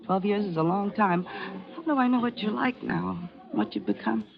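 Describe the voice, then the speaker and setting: An actress playing a brash, quick-witted American press photographer, skeptical and pointed, in 1940s radio drama style. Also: female voice, medium pitch, expressive, vocal fry. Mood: searching, wounded. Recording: dull vintage radio recording with music.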